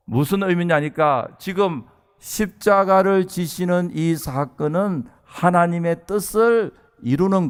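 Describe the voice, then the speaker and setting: Male pastor, 60s, from South Korea. Slightly high voice, earnest, emphatic sermon delivery aimed straight at the congregation. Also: smooth. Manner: earnest, emphatic, addresses listeners with rhetorical questions.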